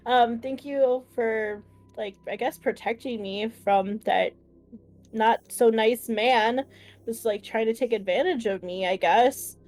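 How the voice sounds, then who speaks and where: A woman's voice: a little high, slow pace, a little mellow, faint music behind. A woman improvising casually in character as a tabletop game player, hesitant and searching for her words.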